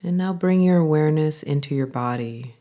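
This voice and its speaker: A woman guiding a body scan meditation, giving calm, gentle instructions in an unhurried way with pauses. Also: sits low. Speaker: meditation guide.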